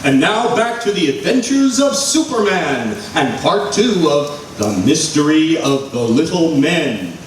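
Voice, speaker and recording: A man's voice: on the high side, big pitch swings, unhurried, hardly any pauses. A man narrating as the announcer of a 1940s-style radio serial. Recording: echoey hall, distant mic, clear noise.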